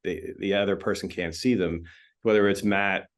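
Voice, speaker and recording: A man's voice: sits low, moderate pace. A male film editor talking casually in an interview, full of fillers and restarts. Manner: relaxed, chatty, many fillers and restarts. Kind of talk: interview conversation.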